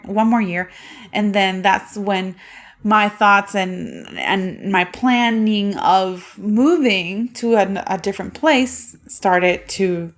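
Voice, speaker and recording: A woman's voice: mid-range voice, a bit bright. A woman in her thirties from Puerto Rico, hosting a solo podcast and telling a personal story in a chatty way. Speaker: podcast host.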